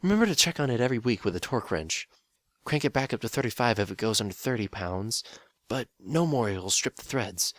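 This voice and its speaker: A man's voice: mid-range voice, expressive, a little fast, a bit bright, no room sound, voice pushed up, lightly acted. A man voicing a practical, easygoing male handyman pony who talks in a casual, wry and matter-of-fact way.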